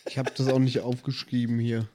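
A man's voice: mid-range voice, level tone, brisk, warm tone, no echo, clean studio sound. A man chatting casually and improvising as a player in a tabletop role-playing game. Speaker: player in an improvised pen-and-paper audio play.

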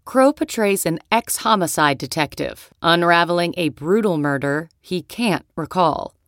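A woman's voice: low voice, no echo, studio quality. A woman reading a film advertisement in a dramatic, suspenseful voice-over. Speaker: ad announcer. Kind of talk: movie trailer voice-over.

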